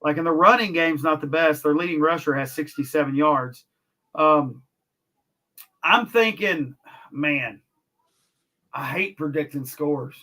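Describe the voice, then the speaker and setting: A man's voice: a little high, measured pace, long pauses, pushed and bright. A man co-hosting a casual sports podcast, talking emphatically and hedging his own predictions. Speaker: podcast co-host.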